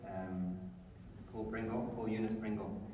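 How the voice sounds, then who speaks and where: Male event host, lowish voice, halting informal delivery, long hall reverb with faint noise. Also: level tone, warm tone, dull audio. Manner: halting, repeating and rephrasing phrases. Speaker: event host introducing and interviewing a guest. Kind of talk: informal spoken introduction and interview. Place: large echoey room in a mosque. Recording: echoey hall, distant mic, faint noise.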